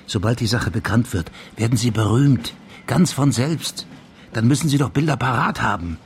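A man playing a brooding, controlled senior police official in a radio crime drama, with a gravelly voice. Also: mid-range voice, expressive, normal speed, a little hiss or hum, natural voice. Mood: persuasive. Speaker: actor in the lead role.

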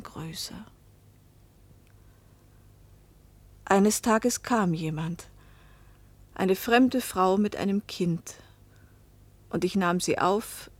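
A woman's voice: on the low side, no room sound. An actress playing a poor fishing-town woman who recounts her hard life in a restrained literary radio play narration. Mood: sorrowful, restrained.

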